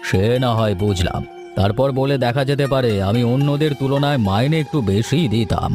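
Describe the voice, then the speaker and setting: A man voicing a reasonable, well-meaning village moneylender in a cartoon story, with a measured, matter-of-fact delivery. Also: medium pitch, over music, close to an everyday voice. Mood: businesslike. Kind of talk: Bengali cartoon story dubbing.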